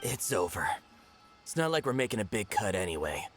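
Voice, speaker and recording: Scared street dealer, stammers, pitch jumping, soft music under. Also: male voice, sing-song, fairly quick. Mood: weary. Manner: hesitant, stammering. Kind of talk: game voice acting.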